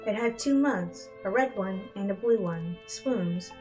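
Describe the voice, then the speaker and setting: A woman's voice: medium pitch, unhurried, a little mellow, rough recording. Woman reading a dramatic story over music. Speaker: fan fiction reader. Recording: music bed behind the voice. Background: instrumental music.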